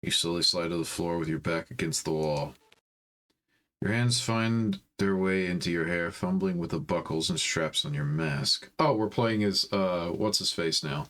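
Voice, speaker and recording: A man's voice: low voice, moderate pace, fairly bright tone. A man reading a visual novel aloud as storytime narration, breaking off into informal, slangy commentary.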